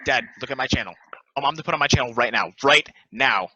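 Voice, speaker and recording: A man's voice: mid-range voice, fast talker, pushed and bright, dull audio, a little hiss or hum. A man reading the part of a brash, foul-mouthed friend, arguing in slangy banter at a play table read. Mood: urgent. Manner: combative, slangy.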